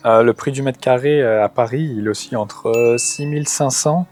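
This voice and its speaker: A man's voice: mid-range voice, moderate pace, darkish tone, faint music behind. Hesitant man in his thirties, from England. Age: 30s. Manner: hesitant, frequent "euh" fillers. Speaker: guest. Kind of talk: casual discussion.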